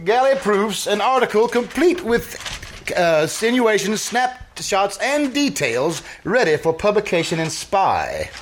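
A man's voice: high-pitched, big pitch swings, a little slow, slight background noise. A man playing a suave, teasing, roguish ex-husband in old-time radio comedy style, sardonic and given to sly hesitations. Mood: smooth, needling.

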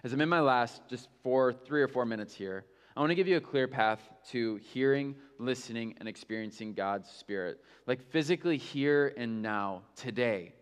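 A man's voice: medium pitch, moderate pace, hardly any pauses, smooth, long reverb tail. A male pastor preaching a sermon in a candid, conversational way, with self-mocking asides.

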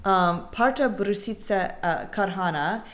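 A woman's voice: medium pitch, unhurried. Chatty woman telling theater history. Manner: animated storyteller with many fillers. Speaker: former theater company director. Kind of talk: casual conversation.

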